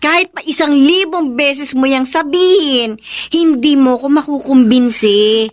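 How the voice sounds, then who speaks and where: An actress playing a stubborn, steadfast younger sister in a Filipino radio drama, with an earnest, emotive delivery. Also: female voice, high-pitched, a little slow. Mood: exasperated, defiant.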